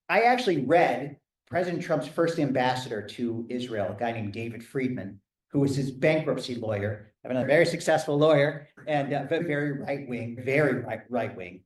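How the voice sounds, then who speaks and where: A man, a rabbi, answering audience questions in a lecture and thinking aloud as he goes. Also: medium pitch. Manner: thoughtful, thinking aloud with restarts. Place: large echoey hall. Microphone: microphone failing, speaking loud from a distance. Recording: echoey hall, voice from far off.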